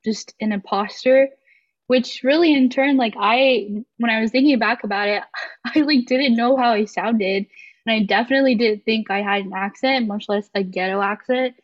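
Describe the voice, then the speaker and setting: A woman's voice: on the high side, normal speed. A young woman from the United States, speaking thoughtfully and hesitantly as a guest in a casual podcast conversation. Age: 20s. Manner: thoughtful, hesitant, many fillers. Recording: slightly muffled lo-fi audio.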